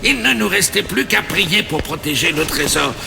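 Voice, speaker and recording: An actor playing a grave monk in a video game cutscene, speaking in a solemn storyteller's manner. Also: male voice, sits high, animated, normal speed, creaky, exaggerated voice. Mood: solemn, foreboding. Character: grave male monk, solemn guardian of an ancient treasure. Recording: long hall reverb, music underneath. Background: music.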